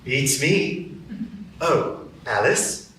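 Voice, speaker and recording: A sly cat, unhurried and amused. He drawls slowly with a swooping, lively pitch. The sound is roomy and distant, as if taken from a live stage radio play. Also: noisy background. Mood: teasing. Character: sly lazy male Cheshire Cat.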